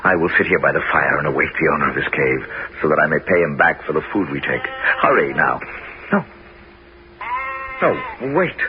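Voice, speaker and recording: An actor playing a bold, commanding Greek hero who also narrates, in a 1970s radio drama. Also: male voice, extremely low pitch, expressive, normal speed, noisy background. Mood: calm. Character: brave male Greek hero, commanding leader. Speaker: narrator and lead. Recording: dull vintage radio broadcast.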